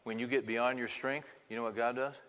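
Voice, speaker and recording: Man, 50s, pastor, conversational sermon, rhetorical questions, crisp bright voice, long hall reverb. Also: mid-range voice, level tone, a little fast. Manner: conversational, asks the crowd many rhetorical questions. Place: church hall with congregation.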